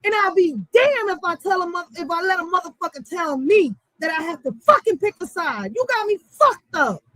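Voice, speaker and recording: A woman's voice: very high voice, expressive, normal speed, a bit bright, no room sound, rough recording. A woman giving a heated, profane casual monologue, blunt and emphatic, using street slang and repeating phrases for stress. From Puerto Rico or Puerto Rican background. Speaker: channel host.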